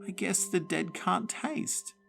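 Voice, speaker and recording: A rasping, toothless old woman ghost, petty and spiteful, whining about cake in a high, shrill voice, as a man puts her on. She sounds like a storybook spook with faint music behind. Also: lively intonation, slow, fairly bright tone, heavily acted. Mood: complaining.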